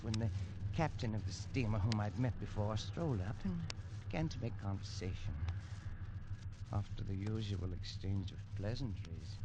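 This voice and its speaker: An actor playing a haunted man telling his own nightmare in a tense, hushed, stammering first-person style in a 1940s radio drama. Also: fairly low pitch, gravelly, background noise. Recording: lo-fi old radio recording under music.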